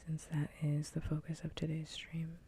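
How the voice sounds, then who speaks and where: A woman whispering softly and breathily close to the mic in a casual, blunt ASMR livestream chat. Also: sits low, monotone, moderate pace. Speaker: livestream host. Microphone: close ASMR microphone. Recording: faint background noise.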